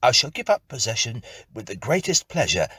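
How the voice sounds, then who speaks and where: A nervous, polite male tenant acted by a storyteller in a ghost story. The voice jumps up high and agitated from a husky base, blustering with raised delivery. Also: sing-song, fairly quick, pushed and bright, no room sound, full character voice. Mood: composed, polite. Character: startled, poor young male tenant, blustering yet polite. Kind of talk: dramatic ghost story reading.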